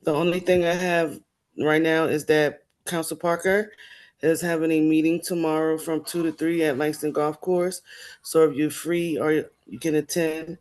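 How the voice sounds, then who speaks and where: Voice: mid-range voice, darkish tone. A neighborhood commissioner giving a brief, informal report at a public meeting.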